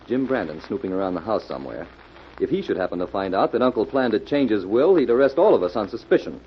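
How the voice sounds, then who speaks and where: An actor playing a suspicious, irritable doctor in an old radio mystery, gruff and impatient. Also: male voice, fairly low pitch, sing-song, fast talker. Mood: irritable, wary. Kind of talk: 1940s radio mystery acting. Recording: muffled, noisy old-time radio recording.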